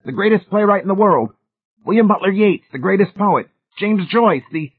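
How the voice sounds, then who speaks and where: A man voicing a gruff, suspicious, excitable blusterer with a hoarse edge in an audiobook. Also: on the high side, fast talker, phone-quality sound, voice pushed up. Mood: frantic, indignant. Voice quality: hoarse, croaky. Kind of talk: audiobook character voice.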